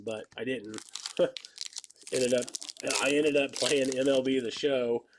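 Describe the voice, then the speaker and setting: A man's voice: mid-range voice, steady tone, slow pace, background noise. Congested thirty-something man calling card pulls. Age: 30s. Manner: rambling, joking, calls out card pulls. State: stuffy nose, slightly hoarse. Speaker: card break host. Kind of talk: casual live-stream chat while opening cards.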